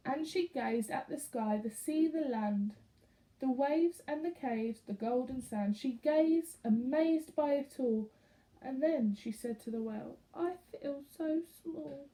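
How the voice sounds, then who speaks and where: A woman reading a rhyming picture book aloud expressively to young children, pausing to put questions to them. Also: high-pitched, dark tone, rough recording.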